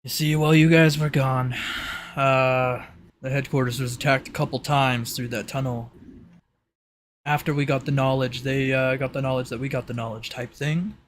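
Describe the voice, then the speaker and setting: A man's voice: medium pitch, fairly even intonation, normal speed, slight background noise, lightly acted. A man as game master playing a matter-of-fact captain briefing mercenaries, a little hesitant. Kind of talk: improvised tabletop roleplay.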